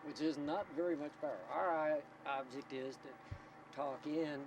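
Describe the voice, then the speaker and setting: A man who runs a community radio station explains things informally in an interview, halting and choosing his words carefully, on a noisy old documentary recording. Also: steady tone. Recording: noisy 1990 documentary tape, vehicle or generator rumble.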